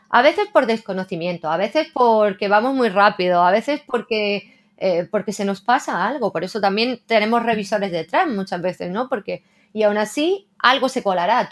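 Reflective Spanish-speaking woman translator. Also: medium pitch. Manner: reflective, frequent "¿no?" tags. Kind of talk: casual interview conversation.

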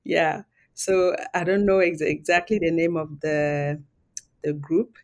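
A woman's voice: low voice, fairly even intonation, slow pace. Encouraging woman hosting a podcast. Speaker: podcast host. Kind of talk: podcast interview.

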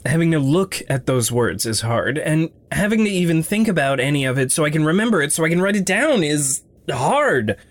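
An actor playing a gentle, guilt-ridden young man, halting and introspective, in a naturalistic audio drama. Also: on the high side, animated, dry recording, lightly acted. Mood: vulnerable. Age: young adult.

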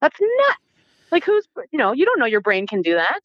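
A Canadian-accented woman telling stories casually as an interview guest, animated and informal, acting out bits of dialogue as she goes. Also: sits high, normal speed, no room sound, muffled recording. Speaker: interview guest, former Olympic athlete. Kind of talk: casual conversational storytelling.

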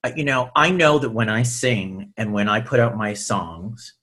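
A man's voice: fairly low pitch, animated, moderate pace, sharp tone. A middle-aged man, a singer and guest, talking in an earnest, reflective way and repeating himself as he thinks. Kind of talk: casual conversation.

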